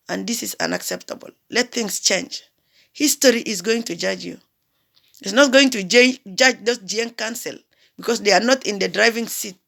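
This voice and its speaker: A woman delivers an impassioned public appeal. Her voice is gravelly, with a mid-range pitch. She drives her points home by repeating phrases and firing rhetorical questions. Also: fairly bright tone. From South Sudan.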